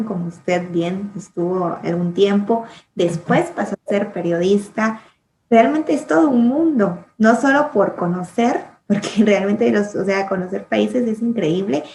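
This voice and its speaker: Woman, interviewer, polite and formal, mid pitch, echoey room, faint noise. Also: darkish tone. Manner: polite and formal, addresses guest as usted. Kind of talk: interview questions. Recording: roomy echo with faint background noise.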